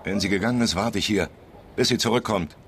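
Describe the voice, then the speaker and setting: A man's voice: on the low side, quick pace, fairly bright tone, a little hiss or hum. An actor playing a naive, stubborn man devoted to his girl, speaking earnestly in a radio crime drama. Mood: worried, stubborn.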